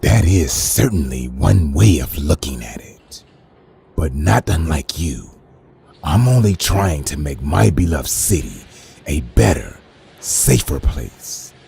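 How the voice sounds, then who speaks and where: Very deep gravelly supervillain. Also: male voice, big pitch swings, dark tone, slight background noise, exaggerated voice. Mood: smug menace. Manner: pompous, measured, menacing. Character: suave menacing male crime boss supervillain, self-righteous. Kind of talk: animated comic villain acting.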